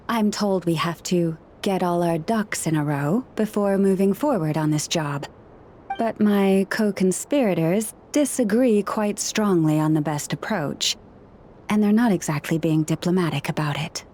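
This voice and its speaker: A woman playing a soft-spoken gentle animal whisperer. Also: medium pitch, lively intonation, a little slow, a little hiss or hum, lightly acted. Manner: soft, patient, slightly wry. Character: gentle young woman who hears animals. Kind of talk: game character dialogue.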